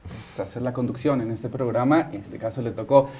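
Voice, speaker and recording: A man's voice: medium pitch, a little fast, dark tone. A man with a Mexico City accent hosting a studio talk show, commenting on news informally with a teasing, joking streak.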